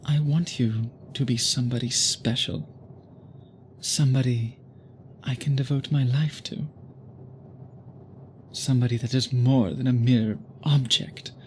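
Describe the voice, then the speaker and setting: A man playing an obsessive, tender vampire suitor in an intimate ASMR roleplay, speaking in formal, gently doting phrases. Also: mid-range voice, fairly even intonation, measured pace, long pauses, a little hiss or hum, natural voice. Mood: melancholy, earnest.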